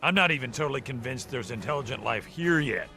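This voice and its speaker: An ancient, jaded monster for an animated game. He cracks wise in slangy, sarcastic style with a creaky, gravelly edge. Music plays clearly behind. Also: mid-range voice, quick pace. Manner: sarcastic, slangy, world-weary. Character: wisecracking, jaded ancient male fish-ape monster. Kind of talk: animated video game voice acting.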